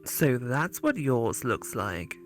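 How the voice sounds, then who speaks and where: A woman's voice: rumbling low, sing-song, slow, faint music behind, voice pushed down, heavily acted. A woman voicing a flirty, curious female AI creature in a visual novel, frank and teasing. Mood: curious, seductive. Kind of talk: visual novel character read aloud.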